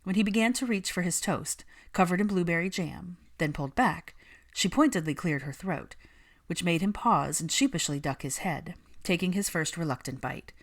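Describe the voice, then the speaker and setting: A woman narrates fiction from a script. Her voice sits a little low. She reads as an audiobook storyteller. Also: moderate pace.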